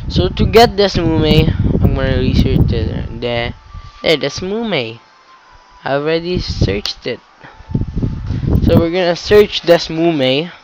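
A man's voice: fairly high pitch, lively intonation, slow pace, heavy background noise. A teenage boy with a cold who rambles and corrects himself while explaining steps on a computer, swearing casually in a tutorial. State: has a cold. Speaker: tutorial maker. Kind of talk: casual screen-recorded tutorial. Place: indoor room at a computer.

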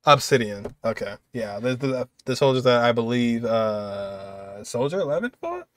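A man in his twenties talks through a video as he watches it. His voice sits at a middle pitch, and his delivery is casual, off-the-cuff commentary from a reaction host. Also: animated, a little slow.

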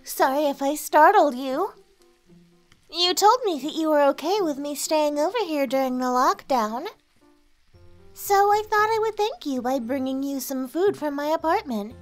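A high-pitched, sugary young woman, played by a voice actress speaks over music in an ASMR roleplay. She is coy and hesitant, with a clingy, possessive devotion aimed straight at the listener. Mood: sweet, cheerful.

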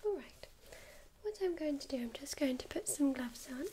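A woman with a high, airy voice whispers close to the microphone in a soothing medical check-up roleplay. She talks gently and reassuringly, guiding the listener through each step, with a faint hiss behind her. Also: expressive, a little fast. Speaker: ASMR creator playing a first-aid medic.

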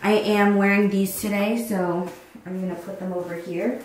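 A young woman chats her way through a casual vlog, rambling with lots of fillers. Her voice sits at a medium pitch. It is picked up from a little way off in a roomy, echoey space. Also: fairly even intonation, moderate pace, a little mellow. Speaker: lifestyle vlogger. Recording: roomy, mic at a distance.

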